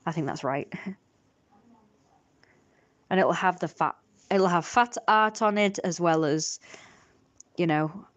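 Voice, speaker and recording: A woman's voice: fairly low pitch, slow, long pauses. A woman chatting casually on a livestream as she draws, rambling and self-critical. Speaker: streamer and artist drawing. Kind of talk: casual livestream chat while drawing.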